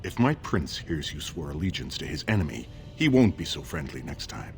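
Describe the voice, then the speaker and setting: An actor voicing a scheming, haughty dwarf man in a video game, smooth and condescending. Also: rumbling low, very animated, moderate pace, faint music behind, full character voice. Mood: veiled threat. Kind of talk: video game dialogue acting.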